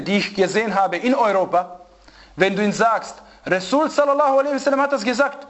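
A man giving an informal religious lesson to a small group, telling anecdotes with animated, repetitive phrasing. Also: sits high. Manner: animated storyteller, repeats and restarts phrases. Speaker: religious teacher.

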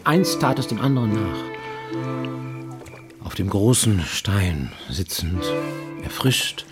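An actor playing a haunted man who recounts childhood guilt in a halting, brooding radio-play monologue, at times sinking toward a whisper. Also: lowish voice, natural voice. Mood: brooding, subdued. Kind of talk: German radio play, first-person confessional monologue.

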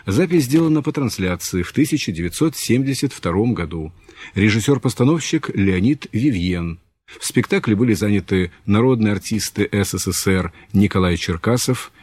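Man, radio announcer, scripted delivery, slightly low pitch, husky, very dry sound. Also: darkish tone, no echo. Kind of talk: scripted radio announcement introducing a recorded play.

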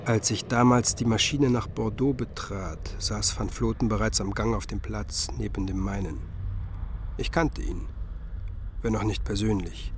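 A man acting a reflective musicologist who recalls the past in measured, literary radio drama narration. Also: fairly low pitch, fairly even intonation, noisy background, natural voice.

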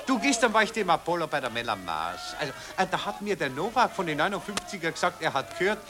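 An actor playing a vain, breezy Viennese army officer chatting chummily in a 1940s German radio play. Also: male voice, on the high side, big pitch swings, fast talker. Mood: jaunty, chummy. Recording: old 1940s radio recording.

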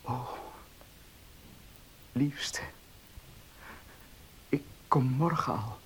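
An actor playing a passionate, hot-tempered suitor in a Dutch-style radio play, husky and melodramatic, tender toward his beloved. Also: mid-range voice, very slow, slight background noise. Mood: tender, hushed. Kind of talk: radio drama acting.

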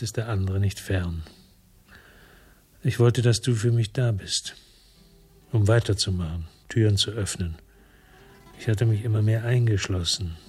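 A world-weary former cop tells his own story in a radio crime drama. His voice is on the low side, his phrases terse and literary, his temper brooding and reflective. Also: darkish tone, breathy edge. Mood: reflective, melancholy. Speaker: lead actor and narrator of a crime radio drama. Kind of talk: first-person radio drama narration.

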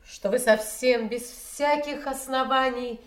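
Холодную принцессу, речь медленная. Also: female voice, high-pitched, steady tone, echoey room. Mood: coolly reproving. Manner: measured, formal, reproving. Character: cold, haughty grown princess, stern daughter. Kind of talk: classical stage tragedy, audio play acting.

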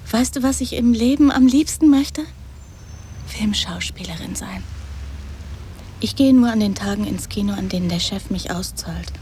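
A woman's voice: mid-range voice, normal speed, mellow, slight background noise, lightly acted. An actress playing a naive, dreamy young woman in a radio drama, speaking in an earnest, artless and insistent way. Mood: dreamy, eager. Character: naive, dreamy, poor young woman, eager and literal-minded. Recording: studio radio drama with background ambience.

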